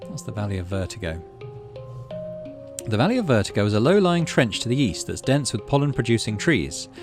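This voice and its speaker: A man hosting a gaming channel, reading a visual novel aloud and making relaxed, musing asides. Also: fairly low pitch, a little fast, over music.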